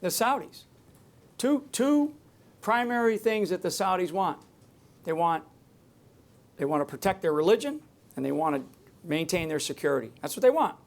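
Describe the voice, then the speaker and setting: A man's voice: sits high, very animated, moderate pace, long pauses. A man speaking off the cuff to a live audience from a stage, emphatic and rambling, often restarting his sentences. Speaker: public speaker on security. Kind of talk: unscripted talk to a live audience.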